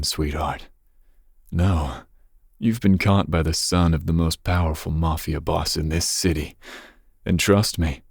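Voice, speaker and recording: A man playing a dangerous, possessive young mafia boss's son in an intimate ASMR roleplay, speaking breathily with smooth, teasing menace. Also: darkish tone, dry recording, clean studio sound, heavily acted. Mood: smug, menacing. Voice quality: breathy, husky low voice. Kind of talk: ASMR roleplay, intimate second-person monologue.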